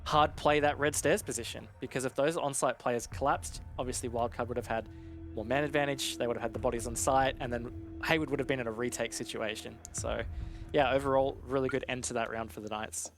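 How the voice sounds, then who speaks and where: A man's voice: medium pitch, fairly even intonation, brisk, music in the background. A man giving live analytical commentary on an esports match in a conversational, explanatory way.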